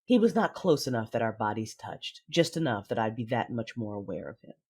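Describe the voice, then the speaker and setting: A man playing a guarded, commanding Sith lord in a sci-fi audio drama, narrating inwardly in a husky voice. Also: lowish voice, big pitch swings, brisk, a little mellow, heavily acted. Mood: brooding, controlled. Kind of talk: first-person audio drama narration and dialogue.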